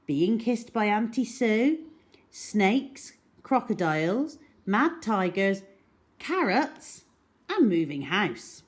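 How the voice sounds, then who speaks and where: A woman storyteller reading a children's story aloud in an expressive, dramatic way. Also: medium pitch, takes it slowly. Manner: dramatic, animated.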